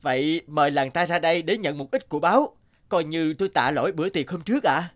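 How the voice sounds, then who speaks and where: A man's voice: a little high, fast talker, background noise, natural voice. A man voicing a burly, gullible young woodcutter with a boastful, hot-tempered streak in a cartoon. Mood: earnest, proud. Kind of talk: cartoon voice acting.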